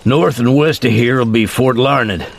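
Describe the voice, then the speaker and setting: An actor playing a middle-aged frontier father with an American Western drawl, laconic, folksy and measured, in a dramatized western audiobook. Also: medium pitch, gravelly, slight background noise. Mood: matter-of-fact. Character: steady, plainspoken frontier father on horseback.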